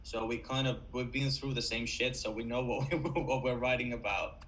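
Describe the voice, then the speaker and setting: A man's voice: medium pitch, steady tone, normal speed, a bit bright. A man from Finland, a band vocalist answering interview questions in a loose, chatty way, filling gaps with "like.". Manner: loose and chatty, heavy use of "like".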